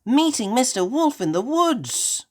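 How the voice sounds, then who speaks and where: A woman's voice: fairly high pitch, sing-song, measured pace, a little mellow, voice pushed up. A woman voicing a cheerful, imaginative little girl pig in a children's storybook, eager and sing-song. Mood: excited. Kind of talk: read-aloud children's storybook voice.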